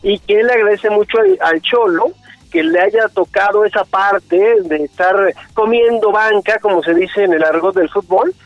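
A man's voice: high-pitched, moderate pace, no echo, muffled recording, faint music behind. A Mexican man in his forties, chatting casually about sports on a radio show, rambling a bit with restarts. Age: 40s. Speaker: sports commentator.

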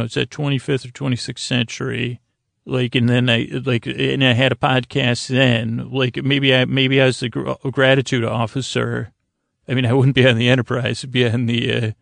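A man hosting a sleep podcast, improvising a meandering, halting monologue that repeats itself and trails off, in a creaky, gravelly voice. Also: mid-range voice, no echo. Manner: meandering, halting, repetitive, trails off mid-sentence. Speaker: podcast host.

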